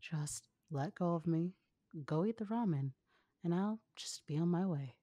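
A woman's voice: fairly low pitch, animated, unhurried, mellow. A woman voicing a weary, laid-back young male ninja in a resigned, sighing manner, reading fan fiction.

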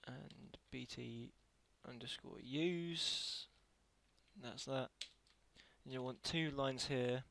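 Slow, creaky-voiced man giving a tutorial. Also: medium pitch, fairly bright tone. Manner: halting, self-correcting while typing. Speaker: tutorial creator. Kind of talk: casual screen-recorded software tutorial.

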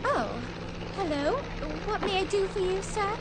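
High, theatrical aviatrix. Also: female voice, unhurried, very noisy. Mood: sweet, coy. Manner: theatrical, swinging from prim to menacing. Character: prim British woman aviator with a fierce temper.

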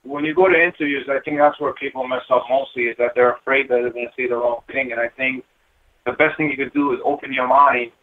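A man's voice: mid-range voice, fairly even intonation, quick pace. Earnest man on a thin phone line. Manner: earnest, repeats "you know". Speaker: guest, building engineer. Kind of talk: casual interview talk.

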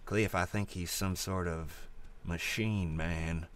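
A man's voice: deep voice, fairly even intonation, slow pace, slight background noise. A man roleplaying a bossy, profane rural brother who hollers orders in a comedic game session. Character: loud bossy rural swamp brother, menacing.

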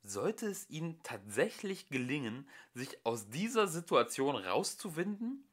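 Slow, lively young male audiobook narrator. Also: on the high side. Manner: slow and expressive. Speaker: audiobook reader. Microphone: home recording.

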